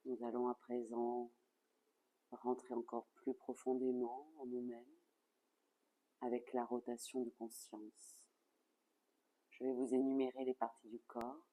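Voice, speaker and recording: A yoga nidra instructor leading a guided relaxation in a calm, soothing, measured way with soft phrase endings. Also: mid-range voice, low-quality recording.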